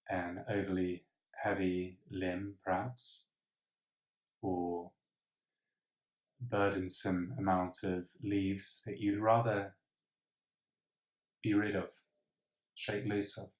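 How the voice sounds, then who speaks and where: A man leading a guided meditation in a measured, reflective way with pauses. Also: low voice. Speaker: meditation teacher. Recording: roomy, echoing sound.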